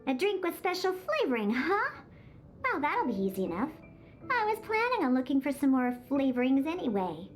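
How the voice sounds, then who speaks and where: A woman's voice: high voice, big pitch swings, moderate pace, slight background noise. A woman voicing a grumpy young catgirl bartender in a video game, huffy, haughty and sulky. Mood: matter-of-fact. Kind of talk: animated game voice acting. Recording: music behind the voice.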